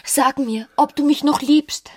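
A woman's voice: sits high, fairly even intonation, measured pace, a little mellow, no echo, studio quality. Young married woman, intense, longing, irritable, radio play. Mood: pleading, insistent. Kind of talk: German radio play acting.

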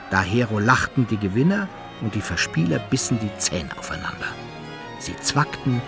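A man plays a wry storyteller looking back on his follies in a radio drama. His pitch moves in lively swings, with violin music clearly behind him. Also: lightly acted. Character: wry man recounting his foolish youthful adventures. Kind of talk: scripted first-person narration in a radio play.

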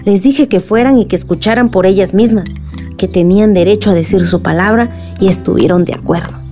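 A woman's voice: mid-range voice, brisk, warm tone, faint music behind, lightly acted. A woman with a Mexican accent playing a caring, determined village woman in a radio drama.

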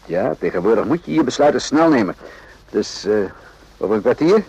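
An actor playing a composed, probing army captain in a radio drama, speaking in a measured, understated way with hesitant pauses. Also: male voice, medium pitch, big pitch swings, gravelly, darkish tone, slightly rough, exaggerated voice. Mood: friendly, inviting. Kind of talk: radio drama acting in Dutch. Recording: dull, muffled old radio recording.

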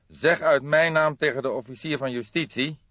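A man voicing an old male police detective with a cool, dry, measured manner in an audiobook. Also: on the high side, steady tone, fairly bright tone, no echo, lo-fi sound, voice pushed up, close to an everyday voice. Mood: icy, defiant.

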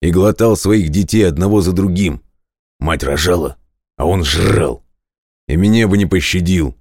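Mighty ageless god for a storybook played by a man: extremely low, weighty, authoritative, dry sound. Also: a little mellow, dry recording. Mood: confiding, proud. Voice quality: very deep, resonant, clearly enunciated. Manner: weighty, commanding, deliberate. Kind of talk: audio fairy-tale character acting.

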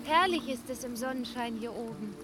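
An actress playing a seductive, mocking river nymph in a fantasy radio play, with a coquettish way of talking. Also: female voice, fairly high pitch, expressive, music in the background, lightly acted. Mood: delighted. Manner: coquettish, teasing, drawn-out delivery. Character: playful, mocking, seductive female Rhine water nymph.

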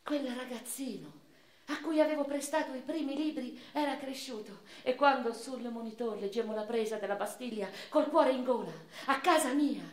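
Actress as an intense noblewoman, high echoing voice. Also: close to an everyday voice. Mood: exultant. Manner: intense, theatrical, with deliberate pauses. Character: weary, passionate Neapolitan noblewoman revolutionary recalling her life. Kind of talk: dramatic literary monologue for radio theatre. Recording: roomy echo with music underneath.

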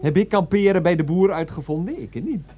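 A man's voice: high-pitched, a little fast, mellow, muffled recording, a little hiss or hum, lightly acted. A man playing a frustrated, educated city husband in a radio play, pretentious, grumbling and hesitant. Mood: exasperated.

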